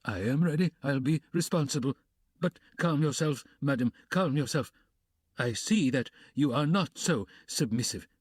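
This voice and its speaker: A man's voice: medium pitch, expressive, moderate pace, husky, no echo, studio quality, pitched above the performer's own voice. A man voicing a pompous, flustered official who hedges and blusters. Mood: placating. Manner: blustering, hedging.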